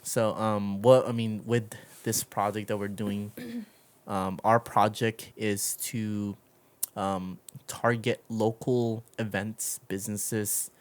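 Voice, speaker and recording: A man's voice: on the low side, steady tone, takes it slowly. A man hosting a podcast in a casual, conversational interview style, rambling and encouraging as he talks. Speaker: podcast host.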